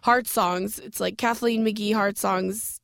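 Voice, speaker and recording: A woman's voice: mid-range voice, fairly even intonation, slow pace, creaky, a bit bright. A woman turning thirty, a comedian co-hosting a radio show, talking in brash, profane, laugh-filled banter in the studio. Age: 30s. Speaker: comedian co-host.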